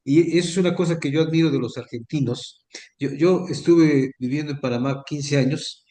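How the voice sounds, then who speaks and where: A man's voice: fairly high pitch, normal speed, warm tone. Chatty Mexican man in an echoey room. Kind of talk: conversational interview on a video call.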